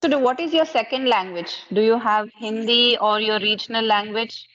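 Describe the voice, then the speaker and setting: A woman's voice: a little high, normal speed, a bit bright, lo-fi sound. A woman in her thirties from Karnataka whose first language is Kannada. She speaks English with an Indian accent and leads a patient, question-by-question conversation practice. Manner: patient, asks many simple questions.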